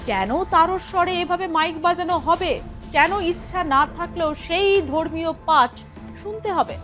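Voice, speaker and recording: A woman reporter narrates a scripted news package. Her voice is very high. Soft music runs faintly behind her.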